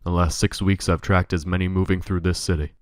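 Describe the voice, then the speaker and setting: Guy doing a brooding playboy detective, really low voice, grave and measured, audio drama. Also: little pitch movement, no room sound, clean studio sound, close to an everyday voice. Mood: grave, insistent. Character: brooding, protective playboy detective, a grown man.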